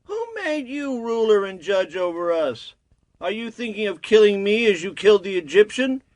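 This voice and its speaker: A higher-pitched, very animated man of ancient times, blunt and questioning. It is a dramatized scripture reading with a close, dry sound. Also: moderate pace, no echo. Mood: defiant.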